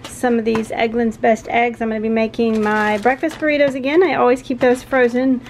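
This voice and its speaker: A woman's voice: fairly high pitch, little pitch movement, fairly quick, slight background noise. A woman in her forties with a Southern American accent, chatting casually to the camera from her kitchen, rambling with frequent little laughs. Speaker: home vlogger. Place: home kitchen and backyard. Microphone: handheld camera close up.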